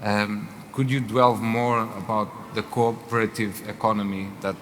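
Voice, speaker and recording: Portuguese accented guy moderating a fireside chat, lowish voice, lots of uhs, hall echo w/ faint hiss. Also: steady tone, fairly bright tone. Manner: halting, many fillers. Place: event hall. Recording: reverberant hall with faint noise.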